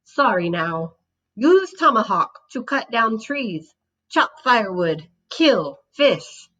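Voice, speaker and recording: A woman's voice: a little high, big pitch swings, slow pace, fairly bright tone, slight background noise, exaggerated voice. A woman voicing a proud little toy warrior come to life, speaking in clipped, blunt phrases, on a slightly dull recording. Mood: curt.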